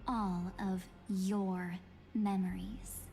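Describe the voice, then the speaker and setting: A woman voice-acting a calm, mysterious guardian of memories in an anime-style video game, measured and enigmatic. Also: mid-range voice, vocal fry, a little hiss or hum. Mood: solemn, cryptic.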